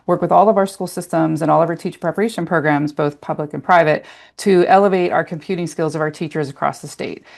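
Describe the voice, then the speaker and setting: Fast-talking gravelly woman. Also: low voice, a little mellow. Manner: brisk, businesslike. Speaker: research director. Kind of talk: self-introduction on a video call. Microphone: video call.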